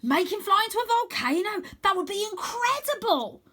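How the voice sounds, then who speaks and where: A woman voicing a reckless, excitable young boy in a storybook, eager and boisterous. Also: very high-pitched, normal speed, voice pushed up, exaggerated voice. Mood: thrilled.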